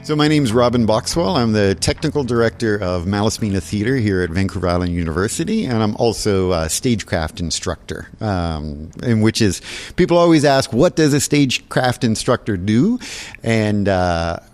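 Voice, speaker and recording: A man's voice: on the low side, animated, moderate pace, darkish tone, faint music behind. A man explaining things earnestly and enthusiastically in a conversational interview. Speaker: theater technical director and stagecraft instructor. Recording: reverberant hall-like room.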